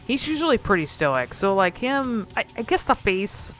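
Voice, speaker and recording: A woman's voice: mid-range voice, sing-song, normal speed, fairly bright tone, faint music behind. A woman hosting casual, unscripted game commentary, musing and thinking aloud in a hesitant way. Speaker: video host exploring game cutscenes.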